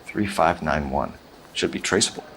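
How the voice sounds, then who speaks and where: A man's voice: very deep voice, normal speed, fairly bright tone, a little hiss or hum, rough recording. An American man in his thirties playing a clipped, authoritative 1940s police detective in a video game. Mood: brisk, official. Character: brisk, accusing young 1940s police detective. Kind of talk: dramatic video game acting.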